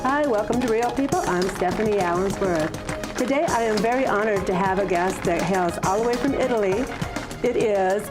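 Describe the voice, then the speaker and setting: A woman's voice: mid-range voice, moderate pace, over music. A woman hosting an interview show, friendly and welcoming, affirming her guest. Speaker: talk show host.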